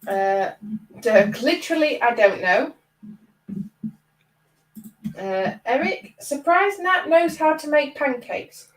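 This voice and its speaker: A woman's voice: high-pitched, animated, takes it slowly, long pauses, echoey room, far from the mic, low-quality recording. A woman with a stuffy cold, co-hosting a casual livestream and reading out viewer comments by name. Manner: chatty, addresses viewers by name. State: congested from a cold. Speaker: livestream co-host.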